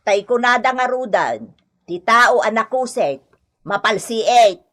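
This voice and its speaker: A woman's voice: a little high, lively intonation, slow, fairly bright tone. An actress playing a reproachful older mother-in-law in a comedy radio drama, grumbling and admonishing.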